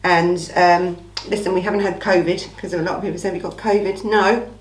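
A woman with an English accent and a slightly croaky, under-the-weather voice, hosting a casual true-crime Q&A in an informal, emphatic way with rhetorical asides. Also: on the low side, fairly even intonation, a little fast. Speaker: true-crime channel host. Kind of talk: casual chatty Q&A monologue. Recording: echoey room.

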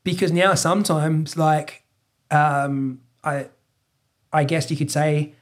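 A man's voice: a little high, steady tone, takes it slowly. A man in his thirties with an Australian accent, English not his first language, talking openly and reflectively as a podcast guest, often restarting his sentences. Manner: open, reflective, trails off in restarts. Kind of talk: casual chat.

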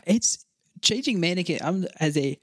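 A man's voice: sits high, animated, normal speed, a bit bright, no echo. A man in his twenties co-hosting a podcast, teasing and challenging in casual, crude, sweary banter, close on the microphone. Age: 20s. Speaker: podcast co-host.

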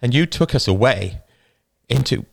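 A man's voice: mid-range voice, animated, moderate pace, creaky, voice pushed up. A man voicing a stoic older teenage boy whose voice cracks as he pushes his words out, tense and raised. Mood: pained.

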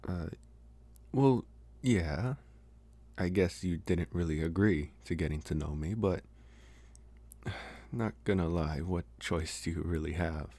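A man playing a smitten young college vampire in an intimate, hesitant, soft-spoken ASMR roleplay. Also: sits low, dry recording, exaggerated voice. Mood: awkward, coaxing. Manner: hesitant, soft-spoken, slow with long pauses. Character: young male college vampire, smitten, calm, gently possessive. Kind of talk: ASMR roleplay, intimate one-sided dialogue.

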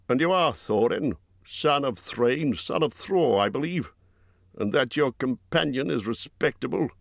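Man doing a rough booming bear-man host, higher and louder than his own voice, dry close sound. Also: dry recording. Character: gruff huge bear-man host, blunt and impolite.

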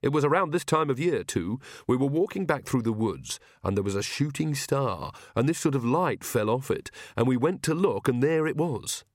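A man voicing a gentle, fretful young mother witch in a storybook reading, recalling softly. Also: mid-range voice, lively intonation, moderate pace, few pauses, no echo, clean studio sound, voice pushed up, lightly acted. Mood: recollecting.

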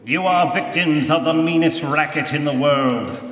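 Ominous radio-play vigilante, male actor, formal and measured, fairly high voice, distant hall sound. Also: fairly bright tone. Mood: grave, commanding. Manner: solemn, measured, formal pronouncements. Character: The Shadow, unseen avenging crime fighter, commanding and ominous. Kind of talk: live radio drama acting. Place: church used for live stage performance. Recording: distant, echoey, noisy live hall recording.

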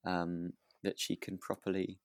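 A man's voice: low-pitched, monotone, unhurried, no room sound, studio quality. A man, co-hosting a podcast and casually discussing a radio drama, often repeating words as he goes. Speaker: podcast co-host.

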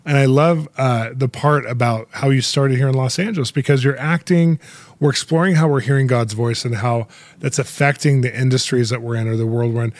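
A man hosting a podcast interview, chatty and upbeat, with quick laughs, in a studio. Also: mid-range voice, fairly even intonation, dry recording. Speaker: podcast host. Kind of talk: casual interview chat.

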